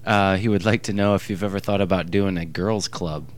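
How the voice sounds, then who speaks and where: A man's voice: sits low, steady tone, fairly quick. A man hosting a casual interview, rambling and joking with many fillers. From Ohio, USA. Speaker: podcast host.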